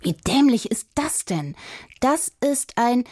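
Woman playing an indignant, gruff young boy. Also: a little high, very animated, slow pace, darkish tone, no echo, studio quality, pitched above the performer's own voice, heavily acted. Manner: blunt, scolding. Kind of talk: children's audiobook character acting.

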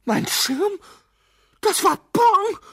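An actor voicing a tiny, anxious male alien visitor in a children's radio play, halting and plaintive. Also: very high voice, lively intonation, fairly bright tone, no echo, clean studio sound, heavily acted. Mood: upset, frantic.

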